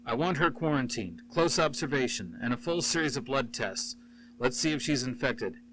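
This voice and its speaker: A man's voice: mid-range voice, lively intonation, normal speed, noisy background, pitched above the performer's own voice, natural voice. A man voicing a cold, commanding corporate major in an audiobook, giving clipped, authoritative orders.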